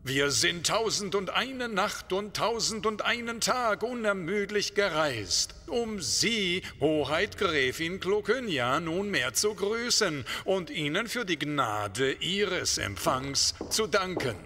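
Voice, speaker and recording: A devoted, florid herald of an exotic court in a fairy-tale radio comedy. His proclaiming voice is very high and swoops dramatically, slow and stately, in a long reverb. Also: big pitch swings, sharp tone, heavily acted. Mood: grandiose. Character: devoted male servant grandly heralding his master.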